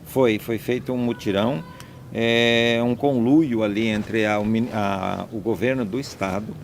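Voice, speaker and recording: Gravelly man, emphatic interview answers. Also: on the low side, steady tone, unhurried, slight background noise. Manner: emphatic, listing and repeating points. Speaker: interviewee, landowner. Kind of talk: conversational interview.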